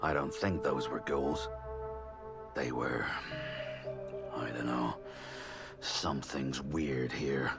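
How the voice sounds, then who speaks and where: A rock-bottom deep, gruff mutant soldier of the wasteland, brooding and bitter. He speaks slowly in terse, whispery phrases with a heavy gravel, over loud music. It has the grim tone of video game narration. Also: fairly even intonation, heavily acted. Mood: wary. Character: gruff, embittered male mutant stalker narrating a wasteland story. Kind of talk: brooding first-person scripted game narration.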